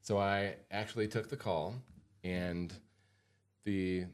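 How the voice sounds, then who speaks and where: Low-voiced American man, casual podcast host. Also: steady tone, slow, clean tone. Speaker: podcast host and financial advisor.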